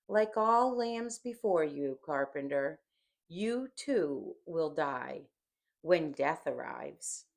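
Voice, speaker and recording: A woman reader performs Sin as a proud, gloating villain of a dramatic audiobook. The delivery is slow and declamatory, taunting and loud, with each boast trumpeted. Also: sits low, exaggerated voice. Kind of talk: dramatic audiobook character reading.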